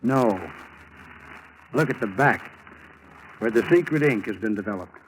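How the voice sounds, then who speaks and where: An actor playing a curt, formal FBI interrogator in 1940s radio style, on a noisy old recording. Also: male voice, on the low side, expressive, a little slow. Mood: stern, pressing.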